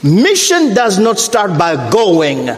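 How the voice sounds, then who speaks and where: A middle-aged man from Africa with a French accent, preaching a sermon as a guest missionary, deliberate and repeating his points. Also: huge pitch swings. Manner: deliberate, repeats phrases, rises in pitch when preaching. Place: church sanctuary. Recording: echoey church hall.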